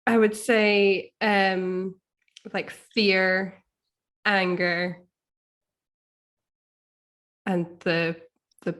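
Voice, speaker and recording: A woman speaking casually as a guest author, halting and searching for her words. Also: medium pitch, flat delivery, a bit bright. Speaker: guest author being coached. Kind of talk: casual conversation.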